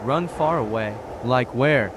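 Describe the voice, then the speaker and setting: A man's voice: mid-range voice, slow, natural voice. Man as earnest teenage boy, noisy background. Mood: earnest, puzzled. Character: earnest sixteen-year-old boy devil hunter, blunt and naive. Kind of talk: fan voice dub of manga. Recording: noisy track with street ambience.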